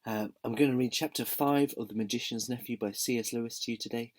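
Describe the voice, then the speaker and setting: A slightly hoarse young man reading a children's book aloud in a friendly, gentle manner. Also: medium pitch, steady tone, fast talker. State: slightly hoarse from a sore throat.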